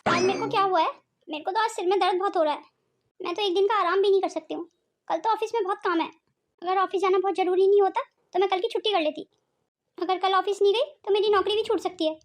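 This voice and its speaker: A woman's voice: very high voice, fast talker. A woman voicing a dutiful, polite young daughter-in-law in a Hindi cartoon family drama. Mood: worried. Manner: polite, deferential.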